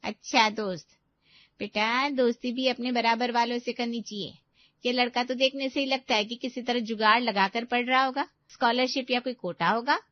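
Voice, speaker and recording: A woman's voice: fairly high pitch, fairly even intonation, normal speed, no echo, muffled recording, natural voice. A woman playing a snobbish, suspicious middle-aged mother with a pointed, gossipy manner in a story. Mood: scornful. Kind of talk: acted story dialogue.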